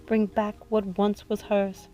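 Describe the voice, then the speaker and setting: A woman voicing a grave, weary young witch in a storybook, gentle but resolute, with measured, somber delivery. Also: mid-range voice, flat delivery, faint music behind, close to an everyday voice. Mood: solemn incantation.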